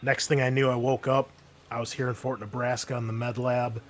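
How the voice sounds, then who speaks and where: A man voicing an injured female marine breacher in a tabletop game, casual and halting as they brush off concern. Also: mid-range voice, flat delivery, close to an everyday voice. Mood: candid, weary. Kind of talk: improvised tabletop roleplay voice.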